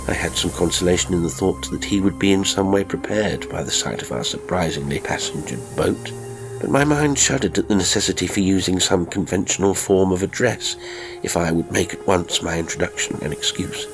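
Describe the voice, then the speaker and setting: A man narrating a literary story in a measured, formal and expressive storytelling manner. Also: low voice. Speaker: audiobook narrator.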